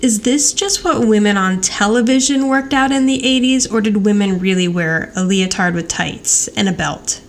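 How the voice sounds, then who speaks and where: A woman co-hosting a podcast, casually recapping a TV show in an animated, retelling way. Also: medium pitch, normal speed, hardly any pauses. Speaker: podcast co-host. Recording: clear background noise.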